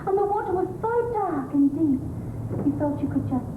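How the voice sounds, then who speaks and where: A woman's voice: high voice, animated, brisk. A woman in an amateur stage play, playing an anxious, emotionally needy young wife and new mother with a plaintive delivery. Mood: dreamy. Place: theatre stage. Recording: muffled, noisy, echoey 1980s theatre recording.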